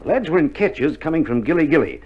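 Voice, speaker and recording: Aussie army male nurse in old radio war play, dry jokey and reassuring, really animated, dull crackly vinyl sound. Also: fairly high pitch, fairly quick. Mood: brisk, reassuring. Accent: Australian. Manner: laconic, joking, colloquial banter. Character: wry, reassuring army male nurse in a wartime field hospital. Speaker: actor in a radio war drama. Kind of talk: 1960s radio drama acting. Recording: old vinyl recording, dull band, faint noise.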